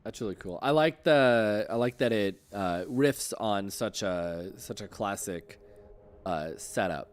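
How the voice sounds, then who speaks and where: A man with a fairly low voice speaks as the host of a gaming show. He asks questions and responds in a casual, conversational interview style. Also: animated, unhurried.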